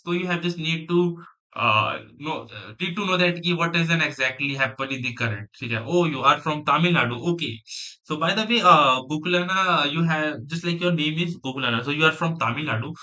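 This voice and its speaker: A young man from Delhi with an Indian accent, a native Hindi speaker, chatting casually on a coding livestream and rambling quickly with many fillers. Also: fairly high pitch, pushed and bright, low-quality recording. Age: young adult. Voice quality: rapid, rambling, filler-heavy talk. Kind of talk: live stream chat, mixing Hindi and English.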